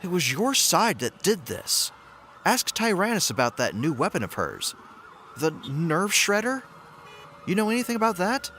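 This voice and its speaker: A man performs a guilt-laden superhero wizard in an audio roleplay, his voice sharp and hugely animated in pitch. He is wry and resigned, with faint background noise around him. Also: moderate pace, full character voice. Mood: accusing. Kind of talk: dramatic audio roleplay acting.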